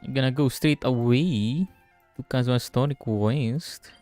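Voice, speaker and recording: A man's voice: medium pitch, a little slow, warm tone. A man from the Philippines, giving offhand, slangy live gaming commentary over game music. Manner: offhand, slangy, reacting aloud. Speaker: VTuber game streamer.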